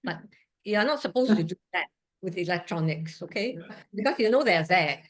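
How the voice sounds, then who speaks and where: Woman, 60s, from Singapore, low voice, earnest talk, chatty asides. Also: expressive, moderate pace. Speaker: guest speaker, surgeon. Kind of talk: personal talk at a museum event. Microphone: video call.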